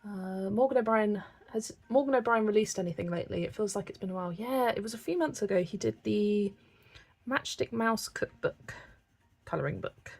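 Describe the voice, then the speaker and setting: A woman's voice: mid-range voice, gravelly, breathy edge. A woman from England, chatting casually and reading viewer comments while she colours on a livestream. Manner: chatty, relaxed, thinking aloud with small laughs.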